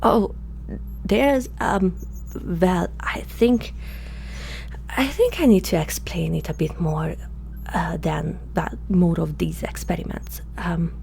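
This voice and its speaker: A woman playing a curious, awkward alien scientist in human form, speaking hesitantly and softly to the listener in an ASMR roleplay. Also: lowish voice, vocal fry, low-quality recording. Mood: hushed, excited. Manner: hesitant, halting, softly spoken, sometimes whispering. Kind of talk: ASMR roleplay, one-sided dialogue to the listener. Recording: faint background hum and noise.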